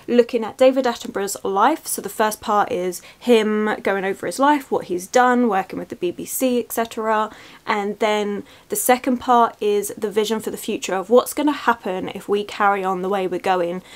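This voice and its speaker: A woman's voice: medium pitch, normal speed. Chatty young woman book vlogger. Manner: chatty, upbeat, rambling asides. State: stuffy from a cold. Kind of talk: casual reading vlog talk to camera. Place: small bedroom with bookshelves, indoors.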